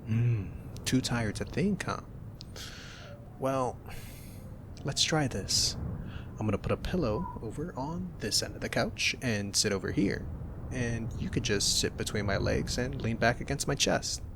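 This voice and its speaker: A young man in a soft-spoken ASMR roleplay, teasing and gentle as a cuddly roommate. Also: mid-range voice, expressive, measured pace, background noise.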